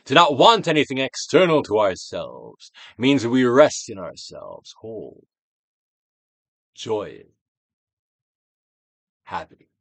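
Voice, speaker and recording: A man reading a book aloud as a theatrical dramatic performance, in a solemn, deliberate, declamatory manner. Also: mid-range voice, big pitch swings, vocal fry, no echo. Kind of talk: dramatic audiobook reading, theatrical Shakespearean delivery.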